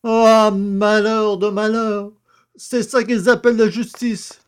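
A man playing a naive, self-pitying poacher in prison, easily flattered and grandiose, in a theatrical, exclamatory audio drama style. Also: high voice, smooth. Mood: plaintive. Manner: plaintive, exclamatory, drawn-out words. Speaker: actor in an amateur audio drama.

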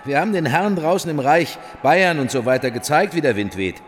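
An actor playing a courteous, weary and cautious head of government in a radio drama, with a measured, deferential manner. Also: male voice, a little high, expressive, a little hiss or hum, close to an everyday voice. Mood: polite, cautious. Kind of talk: German radio drama acting. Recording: clear music behind the voice.

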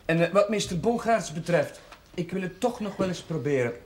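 Earnest, higher-voiced village chaplain. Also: male voice, lively intonation, a little fast, noisy background. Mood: apologetic, hesitant. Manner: courteous, hesitant under attack. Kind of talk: television drama acting.

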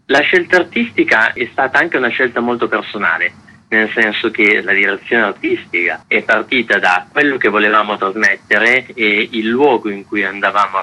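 A man organizing a festival, answering radio interview questions in a measured way with restarts and fillers. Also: on the low side, bright, forceful tone, low-quality recording. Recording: radio broadcast.

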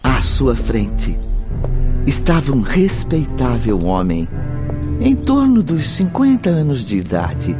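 Slow, dramatic male narrator. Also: medium pitch. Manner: dramatic, measured. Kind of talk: scripted radio drama narration. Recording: music behind the voice.

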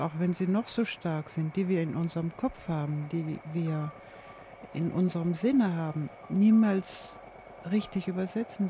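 A person speaking reflectively and haltingly, phrase by phrase, in an experimental radio piece. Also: mid-range voice, mellow, a little hiss or hum.

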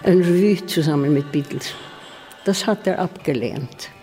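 Highish soft dark-toned guy, halting but emphatic memories, reverby with music under. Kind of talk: reminiscing in an interview.

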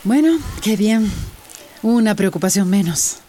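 A woman's voice: mid-range voice, expressive, measured pace, mellow, slight background noise, natural voice. An actress playing a composed woman in charge of emergency coordination in a radio drama, with a Central American Spanish accent.

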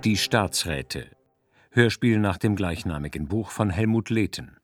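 Slow deep-voiced radio announcer man. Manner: formal, deliberate. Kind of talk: formal announcement. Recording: loud music underneath.

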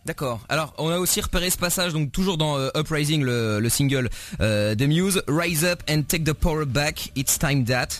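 Fairly high-voiced hesitant man hosting. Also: expressive, normal speed, hardly any pauses. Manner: hesitant, many fillers, joking. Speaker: radio host. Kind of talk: radio interview.

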